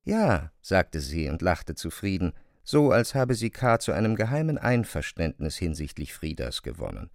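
Low-voiced man, lively literary narrator, dry sound. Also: normal speed, few pauses, no echo. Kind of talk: literary radio drama narration.

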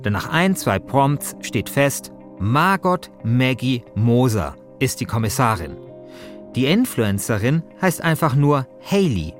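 A man's voice: mid-range voice, sing-song, slow, soft background music. A man hosting a podcast, reading scripted narration in a conversational way. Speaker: host and narrator.

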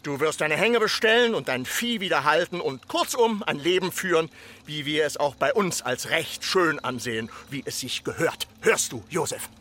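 An actor playing a stern, threatening villager who insistently admonishes and demands order, in a literary radio drama. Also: male voice, on the high side, expressive, normal speed, pushed and bright, slight background noise.

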